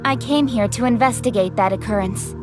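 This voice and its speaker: Stern, direct young game official, voiced by a woman, pitch a touch high. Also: fairly quick, music in the background, lightly acted. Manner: crisp, direct, a little sharp. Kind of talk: video game voice acting.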